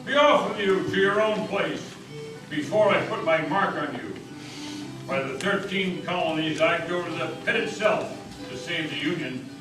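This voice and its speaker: Male actor as proud, defiant frontier-era orator for stage drama, folksy, slightly high voice, very echoey hall. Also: moderate pace, lightly acted. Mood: roaring defiance. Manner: bold, declamatory, folksy. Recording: echoey hall, distant mic, music.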